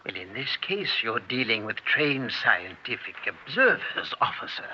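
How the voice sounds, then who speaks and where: A man's voice: medium pitch, big pitch swings, measured pace, bright, forceful tone, breathy edge, exaggerated voice. A man playing an earnest, uneasy scientist in an old-time radio drama, speaking formally and precisely. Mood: insistent. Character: earnest, uneasy scientist giving a recorded confession. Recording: dull, noisy old radio recording.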